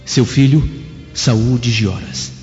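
An actor playing a young, scheming slave in a radio drama, with earnest, formal, measured delivery. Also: male voice, medium pitch, fairly even intonation, darkish tone, lightly acted. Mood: earnest, plotting. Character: young male slave, devoted son, scheming revenge. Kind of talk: radio drama acting, letter monologue. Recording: dull recording, long reverb, faint music. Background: music.

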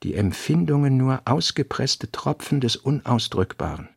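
A man with a husky voice playing a reflective writer, reading aphoristic notes in a literary radio play. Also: medium pitch, very animated, moderate pace, dry recording, studio quality, heavily acted.

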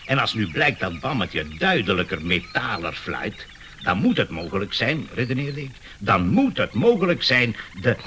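An actor in a radio comedy playing a proud, obsessive amateur inventor and bird breeder, self-important, emphatic and easily exasperated. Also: male voice, fairly low pitch, very animated, normal speed, bright, forceful tone. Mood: proud, eager. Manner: cooing over pets, self-important, emphatic explaining. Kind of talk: comic radio play acting. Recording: noisy early-1980s radio play recording.

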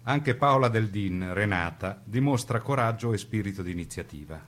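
Expressive male narrator, very varied pitch. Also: normal speed. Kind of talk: scripted dramatic documentary narration.

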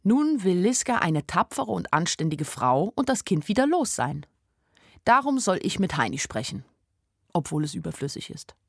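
A woman's voice: fairly low pitch, very animated, normal speed, vocal fry, dry recording, exaggerated voice. An actress playing a naive, observant young village woman who narrates her story in a radio play in a plain-spoken, wry way. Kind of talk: first-person radio play narration in a literary adaptation. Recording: studio radio play with music underneath. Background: music.

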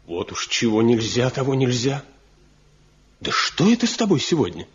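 An actor playing a proud, stubborn master woodcarver from a mountain village in a radio drama, speaking deliberately in folksy, declamatory phrases. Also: male voice, medium pitch, big pitch swings, slightly rough. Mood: impatient, firm. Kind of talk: Soviet radio drama acting. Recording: muffled 1970 radio play recording.

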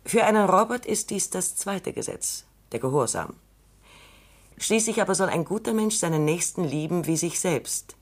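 A cool, exacting female scientist in a radio play. Her voice is very low for a woman, measured and precise as she lays out her arguments. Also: expressive. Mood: lecturing. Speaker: robot psychologist Dr. Calvin. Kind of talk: radio drama acting.

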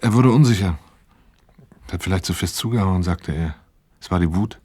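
A man's voice: sits low, moderate pace, warm tone, no room sound. An actor playing a troubled, dutiful working man in a radio play, recounting his life to his therapist in a matter-of-fact, reflective way. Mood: tense recollection. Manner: matter-of-fact, reflective, acting out remembered dialogue. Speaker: protagonist and first-person narrator of a radio play. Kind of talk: 1980s German radio play acting, confessional monologue.

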